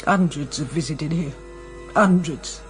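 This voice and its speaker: A woman's voice: low voice, unhurried, soft background music. A woman acting a proud, hard, grieving Cockney mother from a criminal family in a radio drama, blunt and defiant. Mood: grieving, wary.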